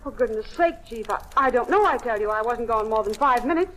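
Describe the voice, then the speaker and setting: Female detective agent, fairly high voice, flustered, defensive, anxious, old-time radio drama acting. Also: animated, a little fast, a bit bright, rough recording. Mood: flustered protest. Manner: anxious, protesting.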